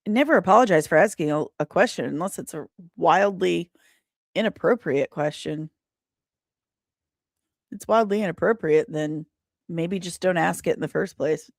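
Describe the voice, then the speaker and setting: A woman's voice: medium pitch, no echo, clean studio sound. A woman hosting a casual livestream, chatting playfully and emphatically straight to her viewers. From Britain. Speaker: livestream host and comic book writer.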